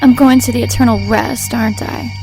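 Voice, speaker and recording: A woman plays a submissive demon's handmaiden in an audio drama. Her voice is high, meek and pleading, full of fear, with music clearly playing beneath it. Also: fairly even intonation, a little fast, darkish tone, close to an everyday voice, low-quality recording. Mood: meek, uneasy. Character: submissive, fearful, pleading young female servant of a demon.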